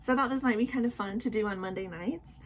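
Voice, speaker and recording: A woman's voice: a little high, quick pace, slight background noise. Chatty sick young woman vlogging. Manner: apologetic, chatty, many "um"s and "like"s. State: sick with a sore throat. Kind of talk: casual nightly vlog chat. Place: at home indoors at night.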